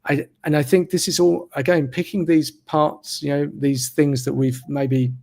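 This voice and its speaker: Gravelly, slow-spoken man. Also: fairly high pitch. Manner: slow, even, reflective delivery. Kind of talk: casual interview conversation. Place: remote video call. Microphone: video call mic.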